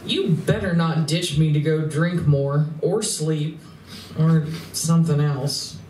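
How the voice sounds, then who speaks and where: A woman's voice: low voice, takes it slowly, a little mellow. A woman playing a sardonic, exasperated space-traveling saleswoman in a radio play, with a sarcastic, scolding manner. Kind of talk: live stage radio play acting. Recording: roomy, distant recording with faint noise.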